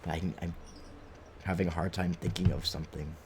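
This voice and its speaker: Low-voiced man casually streaming a game. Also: little pitch movement, brisk, a little mellow, slight background noise. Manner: rambling, thinking aloud. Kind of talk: casual live gameplay commentary.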